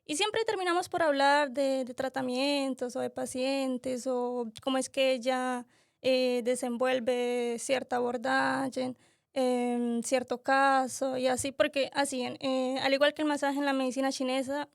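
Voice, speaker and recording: A young woman from Colombia, speaking as a podcast guest with a high, flat voice and a creaky edge. She talks in a halting, unhurried way, and the sound is dry and close. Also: no room sound. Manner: halting, many "eh" fillers, unhurried. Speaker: guest, massage therapist. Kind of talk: casual interview chat.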